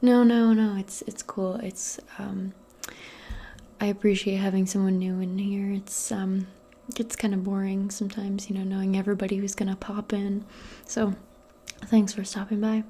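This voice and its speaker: A woman playing a casual, gently awkward modern witch in a soft-spoken ASMR roleplay, speaking hesitantly in a breathy, often whispered voice. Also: mid-range voice, little pitch movement, moderate pace, lightly acted. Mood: friendly, hesitant. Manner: hesitant, murmuring, conversational. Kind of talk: ASMR personal-attention roleplay.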